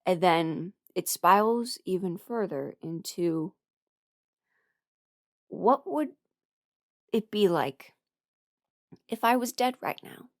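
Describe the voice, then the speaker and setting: A young adult woman talking frankly and haltingly in a candid personal ramble, often quoting her own thoughts as she goes. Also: fairly low pitch, expressive, creaky, dry recording, clean studio sound. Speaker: voice performer sharing a personal ramble.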